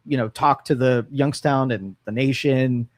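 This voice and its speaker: A man's voice: mid-range voice, steady tone, a little slow, no room sound. A man co-hosting a talk show from a studio, musing aloud with frequent fillers and restarts. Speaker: radio talk show co-host. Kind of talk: casual opinion talk.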